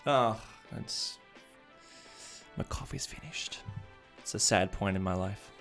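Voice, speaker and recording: A man's voice: lowish voice, slow, fairly bright tone. A man casually commenting on a game live stream, thinking aloud as he chats with viewers. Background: background music.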